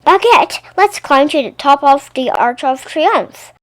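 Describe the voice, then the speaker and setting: A woman's voice: sits high, expressive, measured pace, a bit bright, dry recording. A woman voicing an eager, curious little girl in a storybook, exclamatory and adventurous. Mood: excited.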